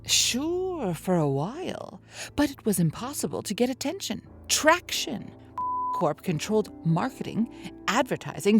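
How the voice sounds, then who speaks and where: A woman's voice: fairly high pitch, heavily acted. A woman playing a jaded, sardonic industry insider interviewed in a mock documentary, speaking drily. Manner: wry, dry.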